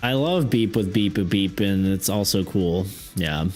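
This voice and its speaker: Rambling low-voiced male streamer, faint music. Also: measured pace, a little mellow. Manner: rambling, laughs often, many fillers. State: losing his voice. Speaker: art and game design streamer. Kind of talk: casual live-stream chat. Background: faint background music.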